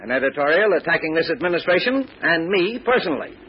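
An actor playing an earnest, embattled middle-aged mayor, formal and hesitant, in a 1940s style radio serial. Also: male voice, fairly high pitch, very animated, quick pace, breathy edge. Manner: formal, hesitant when challenged. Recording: muffled old radio recording.

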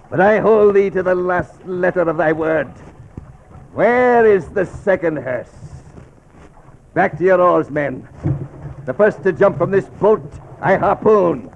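An actor playing an obsessed, commanding old whaling captain in a gravelly voice, with theatrical, archaic oratory in a classic radio drama style. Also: male voice, on the high side, a little mellow, lo-fi sound, slight background noise, exaggerated voice. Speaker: ship's captain.

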